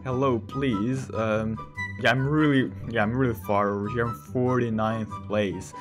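A man's voice: medium pitch, steady tone, measured pace. A sixteen-year-old male gamer who is not a native English speaker, giving casual, rambling commentary as he hosts a gameplay video. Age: 16, teenager. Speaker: gaming video host. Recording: voice under loud game music. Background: music.

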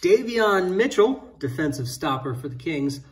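A man in his thirties, a little high-pitched, talks excitedly as he reveals trading cards one after another. He sits at some distance from the mic in an indoor room, so his voice sounds a touch far off. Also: moderate pace. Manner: excitable, hyping each card pull. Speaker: co-host opening card packs. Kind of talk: casual card-opening chat.

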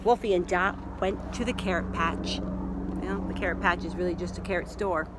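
A middle-aged woman reading a picture book aloud to children outdoors in an expressive way, pausing to ask questions. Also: on the low side, very noisy. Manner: expressive, asks listeners questions. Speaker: library story reader. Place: outdoors in a leafy yard.

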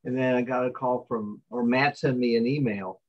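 A middle-aged man speaking from his office over a video call, relaxed, often affirming with short replies. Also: medium pitch, moderate pace, dull audio. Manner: relaxed, affirming with frequent "right". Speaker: recycling company representative. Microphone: webcam on video call.

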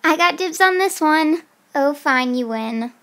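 Slangy, dramatic sleepover girl, voiced by a young woman, high and slow, amateur stop-motion. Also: lively intonation, a bit bright. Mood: casual. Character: young girls planning a sleepover, casual and dramatic.

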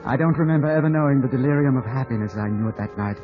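An actor playing a proud, jealous young gentleman in love, in a 1950s radio drama style. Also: medium pitch, very fast, close to an everyday voice. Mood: wistful narrating. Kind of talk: 1950s radio drama acting, with first-person narration. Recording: muffled old radio recording with music.

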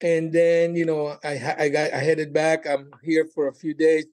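Comedian guest, male, sixties, slightly high voice, halting and informal casual chat. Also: little pitch movement, a little mellow. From Colombia and Miami. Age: 60s. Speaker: podcast guest, stand-up comedian.

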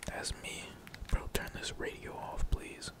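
A young man whispers casual gameplay commentary in a breathy, unvoiced voice, rambling along in slangy, profane language. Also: a little slow. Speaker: gaming streamer.

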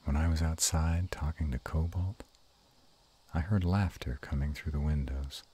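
A man playing a gruff but tender were-dragon lover in an intimate romantic audio roleplay, murmuring slowly and haltingly in a gravelly, husky voice. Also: extremely low pitch, dark tone, heavily acted. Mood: thoughtful.

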